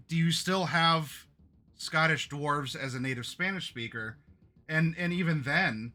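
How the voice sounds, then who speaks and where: A man hosting a tabletop roleplaying stream, chatting with his players. His voice is bright and forward. He talks loosely, trips over his own words and laughs easily. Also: mid-range voice. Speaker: game master and show host. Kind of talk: casual pre-game chat on a stream.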